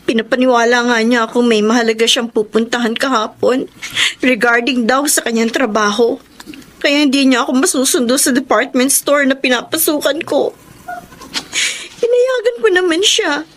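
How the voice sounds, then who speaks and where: A young Filipino woman in a radio romance drama, with a high-pitched voice. She is the understanding, trusting girlfriend and speaks with dramatic feeling. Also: a bit bright. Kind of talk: Tagalog radio drama acting.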